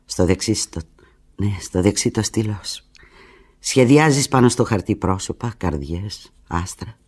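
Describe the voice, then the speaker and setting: An actress playing a desperate, lovelorn woman on the telephone to the lover who left her, in a theatrical radio drama monologue, halting and emotional with a breathy, husky voice. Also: very deep voice, lively intonation, full character voice. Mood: wistful, intimate. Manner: halting, emotional, swinging from hushed murmurs to urgent cries.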